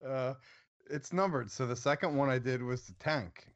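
A man co-hosting a casual podcast chat, rambling through his story with pauses and restarts. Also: mid-range voice, dull audio. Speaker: podcast co-host.

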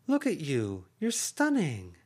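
A man voicing a gushing, high-pitched aunt. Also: wildly swooping pitch, takes it slowly, a little mellow, breathy edge, exaggerated voice. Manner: effusive, emphatic. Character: cheerful gushing adult aunt, woman.

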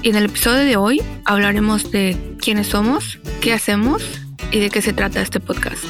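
A woman delivering a brisk, welcoming scripted podcast intro. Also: medium pitch. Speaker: podcast host. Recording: voice over guitar music.

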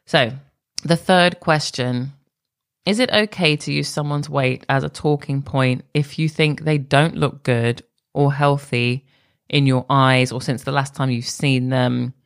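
A woman hosting her own podcast, talking alone in a candid, conversational way that sometimes drifts off track. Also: low-pitched. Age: adult. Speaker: podcast host. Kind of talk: solo podcast monologue.

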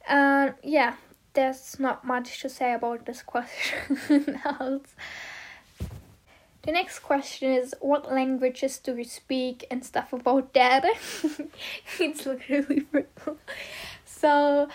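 Giggly, high-pitched teenage girl. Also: level tone, slow. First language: German. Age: teens. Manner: giggly, rambling, many fillers. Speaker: vlogger answering questions about herself. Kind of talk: casual chat.

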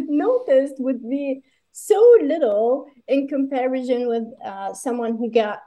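A woman from Iraq speaks as a guest in an interview, fairly high-pitched yet with a mellow, darker tone. She talks in an animated way, full of fillers and quick asides. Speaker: guest, pharmacist running licensure courses. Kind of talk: conversational interview.

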